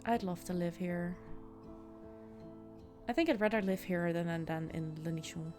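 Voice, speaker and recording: A woman's voice: on the low side, normal speed, darkish tone, faint music behind. A young woman with a Dutch accent, streaming a game and chatting casually with her viewers, often restarting sentences. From Netherlands. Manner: chatty, answers chat, frequent laughs and restarts. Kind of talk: casual chat with viewers while gaming.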